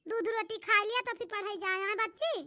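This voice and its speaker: A woman's voice: extremely high pitch, a little fast, very noisy. A woman with an Awadhi accent voicing a patient, hardworking village mother coaxing her child in a cartoon. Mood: pleading, soothing. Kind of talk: homemade cartoon comedy dialogue.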